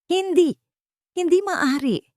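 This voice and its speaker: A woman's voice: very high voice, lively intonation, slow, darkish tone, dry recording, studio quality, pitched above the performer's own voice, exaggerated voice. A woman voicing a hysterical woman villain who cries out in protest in a dramatic audio story.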